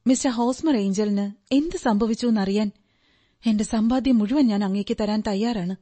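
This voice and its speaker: A woman acting an earnest, high-voiced, talkative client. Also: brisk, warm tone, close to an everyday voice. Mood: earnest, pleading. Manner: polite, earnest, explaining in detail. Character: earnest, talkative unmarried woman client, polite but indignant. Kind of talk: radio drama acting. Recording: radio drama with music behind. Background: music.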